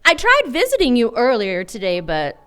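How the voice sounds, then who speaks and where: High, lilting noir lady detective played by an actress. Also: wildly swooping pitch, moderate pace, a bit bright, smooth. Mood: flirtatious, teasing. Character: witty, flirtatious female private detective, a little tipsy. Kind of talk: 1940s noir radio play acting. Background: music.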